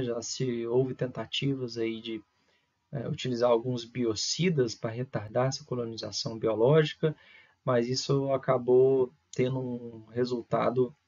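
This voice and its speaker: Husky man giving a lecture. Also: medium pitch, darkish tone, lo-fi sound. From Brazil. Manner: hesitant, many fillers and restarts, frequent tag questions. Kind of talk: recorded university lecture.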